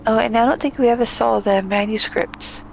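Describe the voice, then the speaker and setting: A woman's voice: a little high, normal speed, creaky, lo-fi sound, a little hiss or hum. A woman chatting playfully with a streamer, often giggling as she talks. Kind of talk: casual chat.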